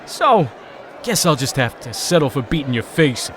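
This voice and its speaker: A man's voice: medium pitch, sing-song, normal speed, lightly acted. Wildly swinging young male fighter, acted by a man. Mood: defiant. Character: defiant, rattled young male cage fighter. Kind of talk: audio drama acting. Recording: crowd and noise behind.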